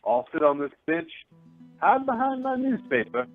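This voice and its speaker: A genial, wry storyteller of yesteryear acted by a man narrates a radio play in a folksy, theatrical way. His fairly high voice swings widely, over a thin phone-like line with music behind. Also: sing-song, normal speed.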